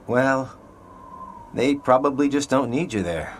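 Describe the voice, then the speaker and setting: A man's voice: mid-range voice, animated, measured pace, a little hiss or hum, lightly acted. An actor playing an easygoing young man in a video game, talking casually with playful nonchalance. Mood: nonchalant. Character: breezy, easygoing young male comrade.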